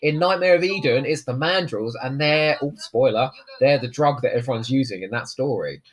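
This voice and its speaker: A man hosting a casual chat, commenting while they watch an episode, joking with quick asides. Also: mid-range voice. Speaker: podcast host.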